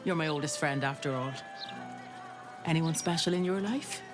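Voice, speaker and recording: A woman playing a warm-hearted, teasing female arms trader in a video game, hearty and familiar. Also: sits low, moderate pace, natural voice. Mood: hearty, affectionate. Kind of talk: dramatic video game acting. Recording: music behind the voice.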